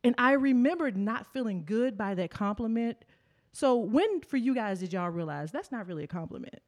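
A woman's voice: fairly high pitch, lively intonation, moderate pace, darkish tone. A woman hosting a panel discussion, explaining terms plainly and then asking her guests questions. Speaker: podcast host.

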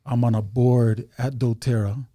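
A man talking as a podcast guest in a relaxed, chatty way, with lots of fillers and asides. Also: mid-range voice, a little slow, no echo, studio quality. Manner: laid-back, chatty, many fillers and asides. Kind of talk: casual podcast chat.

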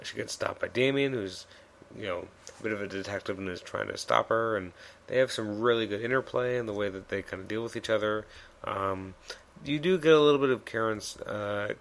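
Male podcast host, low gravelly voice a bit worn, loose rambling delivery. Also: brisk, a bit bright. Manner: rambling, many fillers and restarts. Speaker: podcast host reviewing comics. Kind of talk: casual solo podcast review.

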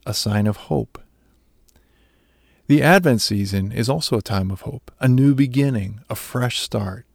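A man reading a scripted devotional reflection in a measured, earnest way. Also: medium pitch, darkish tone, no room sound, studio quality. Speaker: devotional speaker.

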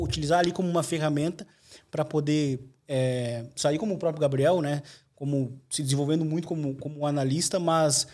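A man's voice: a little high, darkish tone. A man hosting a podcast, asking long, rambling questions with many restarts. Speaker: podcast host. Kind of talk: casual podcast interview.

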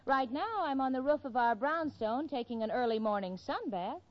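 An actress playing a level-headed, wisecracking young roommate in a 1940s radio sitcom, breezy and wry. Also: female voice, high voice, lively intonation, normal speed. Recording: dull, noisy 1940s radio recording.

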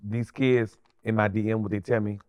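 A man's voice: fairly low pitch, little pitch movement, moderate pace, a little mellow, smooth. A man with a New Orleans accent chatting casually as a podcast guest, blunt and slangy, often repeating himself for emphasis. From New Orleans, USA. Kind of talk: casual, animated interview chat.

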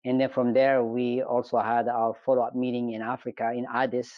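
A man's voice: medium pitch, little pitch movement, brisk, no echo, lo-fi sound. A middle-aged man from Ethiopia, an engineering professor telling his story in an interview with frequent hesitations. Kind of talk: conversational interview.

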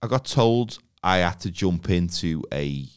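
A man's voice: sits low, lively intonation, a little slow, smooth, dry recording, clean studio sound. A man with a Liverpool accent, co-hosting a podcast, telling rambling, jokey stories in casual chat. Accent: Liverpool English. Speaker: podcast co-host.

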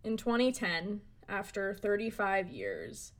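A woman narrating a documentary story in an even, measured way. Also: mid-range voice.

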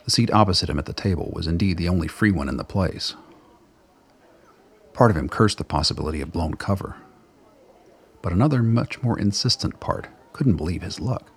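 A man with a husky, gravelly voice narrating a scripted radio drama as a storyteller. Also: deep voice, expressive, brisk.

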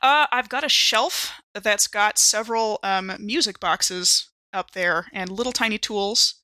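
Woman, bright crisp-edged tone, dry close sound, casual game player, halting improvised description. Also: mid-range voice, no room sound. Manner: halting, thinking aloud as she describes.

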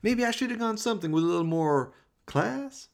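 A man's voice: high voice, lively intonation, fairly quick, creaky, voice pushed up. A man voicing a brash, sleazy gang boss in a comic radio play, mocking and swaggering with slangy threats. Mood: mocking menace.

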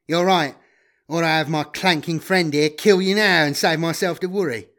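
A slippery male guide in an audiobook, voiced by a man narrator. He gloats with heavy emphasis and sounds easily rattled. His voice rides higher and louder than plain narration. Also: moderate pace, close to an everyday voice. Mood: smug, threatening. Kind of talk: audiobook character voice.

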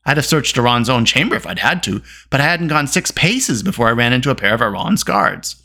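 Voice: mid-range voice, very animated, fairly quick, bright, forceful tone, exaggerated voice. A storyteller voicing an irascible little male dwarf, grumbling and snapping in exasperation. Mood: exasperated.

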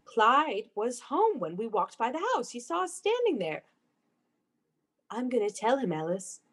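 A woman's voice: a little high, sing-song, a little slow, slightly rough, heavily acted. An actress playing an obsessive, unhinged young woman in a melodramatic radio play, with a theatrical, breathless, intense manner. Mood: haunted, dread. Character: obsessive, unhinged young woman convinced of her dark power. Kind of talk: melodramatic old-time radio play acting.

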